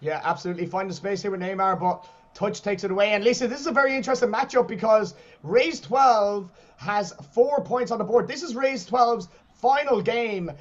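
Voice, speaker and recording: High-voiced excitable male esports commentator. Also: muffled recording. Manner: excitable, shouting at goals. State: losing his voice. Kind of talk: play-by-play commentary.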